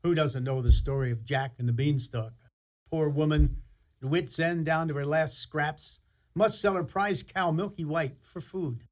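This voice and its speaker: A man's voice: a little high, measured pace, a little mellow, lightly acted. A man playing a genial, theatrical narrator, telling a fairy tale in a radio play. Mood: storytelling. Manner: theatrical, storytelling.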